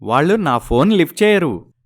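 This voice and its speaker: An actor playing a smooth-talking, evasive young husband in a story, placating and persuasive, dropping in English words. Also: male voice, on the high side, big pitch swings, normal speed. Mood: apologetic.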